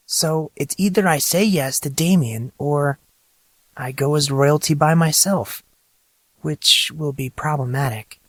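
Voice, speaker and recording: A woman playing a composed but troubled teenage high school girl in an audio drama, talking in a measured, explanatory way. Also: slightly rough, no room sound, full character voice.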